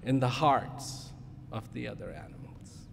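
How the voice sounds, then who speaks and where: A man playing a lazy, proud father lion, speaking slowly and grandly as the king of the jungle in a fable. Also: medium pitch, vocal fry, pitched below the performer's own voice. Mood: proud, boastful. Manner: slow, grand, boastful. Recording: echoing large hall with noise.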